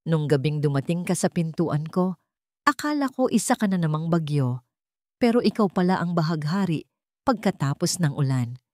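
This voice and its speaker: A woman's voice: deep voice, expressive, moderate pace, mellow, dry recording. Forgiving bride and mother voiced by a woman narrator, earnest romance storybook role, dry close sound. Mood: tender, heartfelt.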